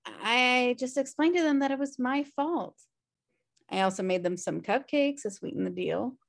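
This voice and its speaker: A woman's voice: fairly high pitch, normal speed, creaky. An American woman reading the part of a flippant, irresponsible twin sister in a sitcom script. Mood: wry. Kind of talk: sitcom script table read. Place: indoor room, on a video call. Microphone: video call microphone.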